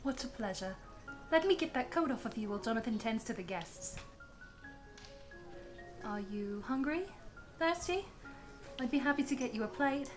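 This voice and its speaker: A woman's voice: fairly high pitch, lively intonation, normal speed, pauses often, soft background music, lightly acted. A woman playing a gracious, teasing young Victorian wife and hostess in a scripted audio roleplay, with polite, playful phrasing. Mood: welcoming.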